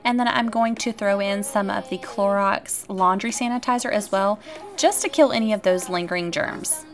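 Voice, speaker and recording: A woman's voice: medium pitch, moderate pace. Upbeat woman narrating a cleaning vlog. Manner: upbeat and chatty, addressing viewers directly. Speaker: cleaning vlogger. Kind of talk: casual voice-over narration.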